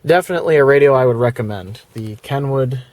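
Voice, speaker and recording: A man's voice: medium pitch, fast talker, a little mellow, no room sound, rough recording. A man talking in a casual, plainspoken, rambling way, like someone presenting a product review vlog. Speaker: video presenter.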